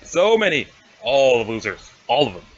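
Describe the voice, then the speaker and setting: A man's voice: medium pitch, big pitch swings, moderate pace, lo-fi sound. A man voicing a cocky, sarcastic young female alien schemer with snarky, bossy talk in an amateur comic reading. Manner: snarky, bossy, casual needling.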